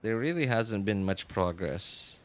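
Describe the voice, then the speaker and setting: A man's voice: fairly low pitch, animated, measured pace. A man live-streaming a game late at night, chatting with viewers in a rambling, hesitant way. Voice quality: rambling, hesitant, lots of fillers and restarts. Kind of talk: casual live-stream chat while gaming. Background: game music.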